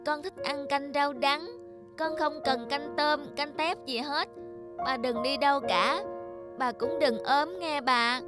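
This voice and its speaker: A woman voicing a stubborn city boy in an animated folk tale, with a plaintive, childlike way of talking. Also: sits high, takes it slowly. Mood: remorseful. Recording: music under the voice.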